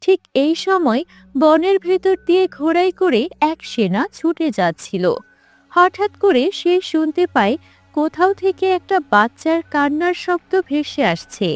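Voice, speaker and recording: A woman's voice: extremely high pitch, very animated, normal speed, no room sound, faint music behind. A woman narrator reading a scripted folk tale in storytelling style.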